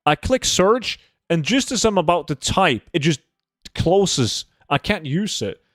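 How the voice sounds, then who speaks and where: A man's voice: a little high, sing-song, measured pace, a bit bright, no echo, studio quality. A man from Norway, chatting casually and bluntly as he livestreams a game, swearing freely. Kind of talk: casual livestream chat while gaming.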